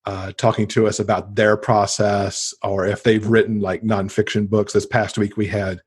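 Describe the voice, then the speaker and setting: A man's voice: fairly low pitch, little pitch movement, moderate pace, slightly rough. A man talking casually as a guest in an interview, chatty and enthusiastic about books. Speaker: podcast guest; podcast host and author.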